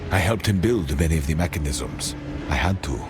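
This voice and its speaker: An actor playing a weary, haunted inventor in a fantasy game, speaking in a grave, measured way. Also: male voice, extremely low pitch, expressive, noisy background. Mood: weary, bitter. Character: weary, haunted older male inventor serving a cruel queen. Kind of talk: scripted fantasy game dialogue.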